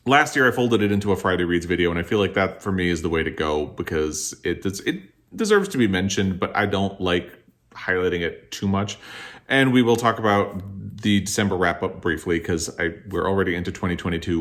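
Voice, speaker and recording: A man with a fairly low-pitched voice talks as the host of a book vlog. His delivery is casual and conversational. He shares opinions candidly but tends to qualify them. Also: animated, brisk, fairly bright tone. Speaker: book vlogger, host of the video.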